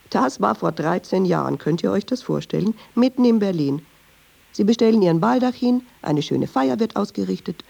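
A chatty, sardonic woman telling a story in a radio play. Her voice is husky and creaky, with lively swings in pitch. She digresses freely in colloquial speech and is quick to take offence. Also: exaggerated voice. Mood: wry, chatty. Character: talkative, wry woman storyteller, easily offended.

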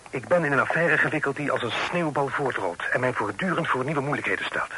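An actor playing an upright, harried young gentleman, polite but frank and formal, in an old radio drama. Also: medium pitch, expressive, a little fast, close to an everyday voice. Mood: indignant, earnest. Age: young adult. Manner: formal, frank, slightly impatient. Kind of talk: vintage Dutch radio drama acting. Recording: noisy old radio play recording.

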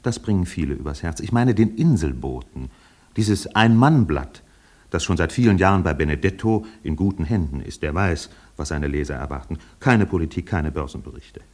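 An actor playing a wry narrator in a literary radio play, telling it in a measured, lyrical way. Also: male voice, low voice, animated, a little mellow.

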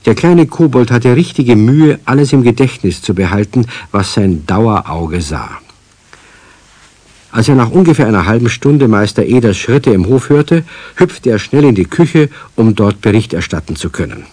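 A man as the genial narrator of a children's radio play, telling the tale in an expressive storytelling manner. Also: medium pitch, normal speed, no room sound. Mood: wry storytelling.

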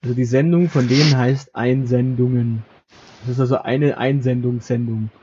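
A man's voice: mid-range voice, fairly even intonation, moderate pace. Man on a muffled, noisy podcast recording. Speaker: podcast co-host. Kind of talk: casual banter, reading out a list of podcasts.

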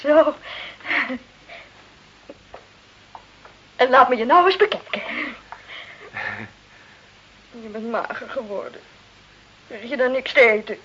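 A woman's voice: high voice, lively intonation, fairly quick, fairly bright tone, breathy edge, exaggerated voice. An actress playing an emotional, stubborn Italian village wife and mother in a Dutch radio drama. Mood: joyful, fussing. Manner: emotional, pleading, insistent. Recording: dull, lo-fi old radio play recording.

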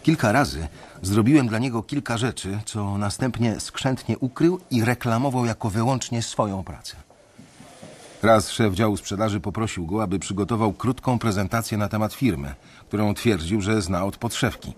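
A man narrating as a husky, low-voiced junior analyst. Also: natural voice. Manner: measured, reflective storytelling. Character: disillusioned male junior bank analyst. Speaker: first-person narrator. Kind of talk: scripted radio drama narration.

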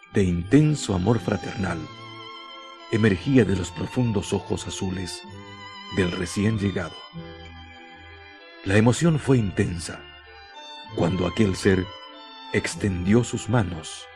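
A man narrating a scripted radio drama in a solemn, deliberate way, with dramatic pauses. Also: sits low, vocal fry, darkish tone, faint music behind.

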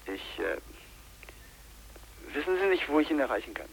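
An actor playing an apologetic, probing man in a radio drama, formal and hesitant, with a husky, muted voice. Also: a little high, sing-song, moderate pace, exaggerated voice, low-quality recording. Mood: polite, cautious. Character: apologetic, probing man making an awkward request. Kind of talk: 1980s German radio drama acting.

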